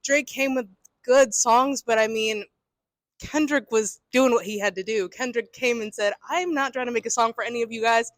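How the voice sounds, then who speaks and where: A woman's voice: fairly high pitch, fairly even intonation, moderate pace, dry recording. A woman answering a street interview outdoors, talking casually but emphatically as she builds her point. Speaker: interviewee, passer-by.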